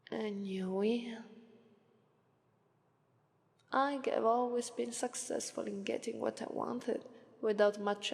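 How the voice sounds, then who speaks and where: A woman acts out a female demon of sloth with an Italian accent, aloof and coolly persuasive. She speaks very slowly in a deadpan, languid ASMR style, with a creaky edge, and her voice hangs in a long reverb. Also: medium pitch, exaggerated voice. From Italy. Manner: slow, deadpan, unhurried, languid. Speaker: voice actress. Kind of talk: ASMR roleplay monologue.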